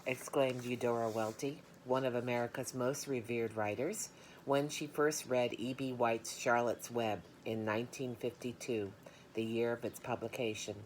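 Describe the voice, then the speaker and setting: A woman's voice: a bass voice, low-quality recording. A woman reading a storybook aloud, mixing narration with informal remarks to listeners. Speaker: storybook reader. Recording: noticeable background noise, room ambience.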